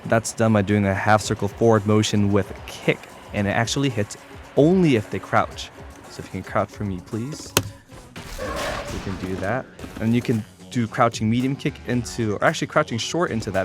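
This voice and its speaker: Male gamer, lowish voice, informal and a little hesitant, walking through moves as a tutorial over clear background music. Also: moderate pace. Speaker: competitive gamer demonstrating a character. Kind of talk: unscripted fighting game tutorial commentary.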